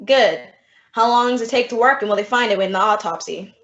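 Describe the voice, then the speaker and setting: Actress as witty female teacher, deadpan sarcasm, radio sitcom, muffled and echoey recording. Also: mid-range voice, a little fast, close to an everyday voice. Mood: dry, wry. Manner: dry, sardonic. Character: wry, quick-witted woman schoolteacher. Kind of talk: old-time radio comedy acting. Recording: echoey room, dull audio.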